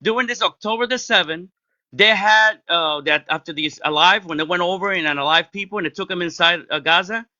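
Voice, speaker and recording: A man with a loud voice argues hard in a heated online debate, cutting in and repeating himself for emphasis. His voice sits high and sounds very bright and forceful. The sound is dry and somewhat dull, like a lo-fi call. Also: fairly quick, no echo. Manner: loud, interrupting, emphatic, non-native grammar. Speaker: debate caller. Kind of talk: heated live-stream debate.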